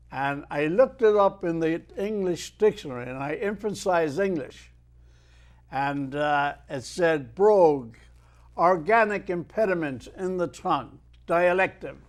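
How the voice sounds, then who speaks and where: An Irish man in his eighties, a retired mariner guest telling stories and reciting his own poetry in a measured way. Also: a little high. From Ireland. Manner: measured storyteller who declaims verse with swelling emphasis. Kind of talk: unhurried storytelling and poetry recitation.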